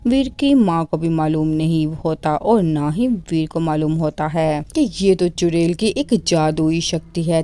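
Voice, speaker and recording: A woman narrates a children's cartoon story in scripted style. Her voice sits a little low. Loud music plays behind her throughout.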